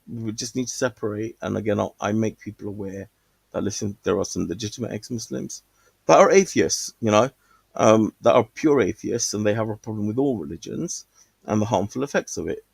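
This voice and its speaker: A man's voice: fairly low pitch, lively intonation, moderate pace, gravelly, husky, no room sound. A man calling in to a stream, talking casually and rambling with many fillers and restarts. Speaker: caller. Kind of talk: casual call-in chat.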